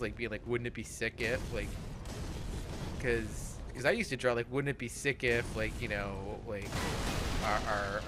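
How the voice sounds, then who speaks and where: A man's voice: mid-range voice, very noisy. A man giving casual, rambling let's play commentary as he plays a video game, swearing freely. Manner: rambling, profane. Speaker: host playing a video game.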